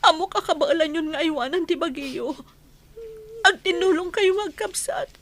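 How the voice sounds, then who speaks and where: Woman acting high-voiced tearful pleading mother. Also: normal speed, fairly bright tone. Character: remorseful tearful mother leaving her children. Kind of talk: Ilocano radio drama acting.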